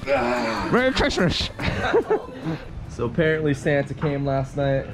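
Playful man with a lively voice. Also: takes it slowly. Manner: playful, bursts into high excited shouts. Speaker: vlog host. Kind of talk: casual vlog chat. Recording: music playing behind.

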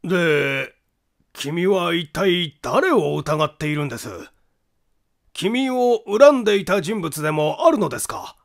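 A man's voice: high voice, lively intonation, measured pace, vocal fry, voice pushed up, heavily acted. A man voicing a doubtful, startled police inspector who questions probingly in a mystery audiobook. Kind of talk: Japanese mystery audiobook acting.

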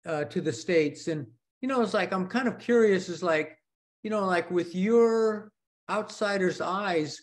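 A man's voice: high voice, a little slow. Hesitant man asking a question. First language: not English. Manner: hesitant, many fillers. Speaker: club member asking a question. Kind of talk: casual question.

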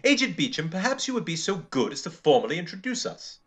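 A man's voice: a little high, big pitch swings, fast, a bit bright. A man playing an urbane, genial alien chief in a radio serial, speaking with genteel, wry courtesy. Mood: genially amused. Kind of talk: old-time radio serial acting.

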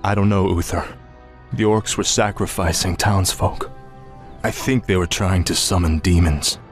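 A man's voice: sits low, fairly even intonation, a little slow, faint music behind. A man playing a confident, eager young warrior prince in a fantasy game, earnest and resolute.

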